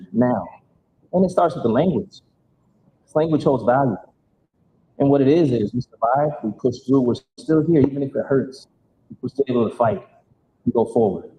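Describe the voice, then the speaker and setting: A man's voice: fairly quick, long pauses, highs cut off. A man hosting a live community event in a motivational style, encouraging and directing the people listening. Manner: encouraging, directive, rallies audience to respond. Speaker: event host. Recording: live recording with faint rumbling noise.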